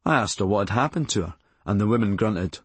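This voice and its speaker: Husky Glaswegian man narrating, swooping pitch. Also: lively intonation, fast, no echo. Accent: Scottish, Glasgow. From Glasgow, Scotland. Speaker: audiobook narrator and author. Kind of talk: scripted memoir narration.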